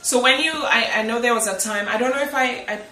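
Really bright high-pitched trini guy interviewing, bit of american twang, echoey and distant. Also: fast talker. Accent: Trinidadian with some American twang. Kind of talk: casual interview chat. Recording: echoey, distant mic, faint noise.

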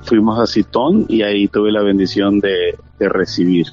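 A man's voice: lowish voice, steady tone, normal speed, a little mellow. A man in his thirties with a Mexican accent, earnestly telling his church testimony in an interview, with many fillers and restarts. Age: 30s. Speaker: interview guest. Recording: dull lo-fi sound with faint music.

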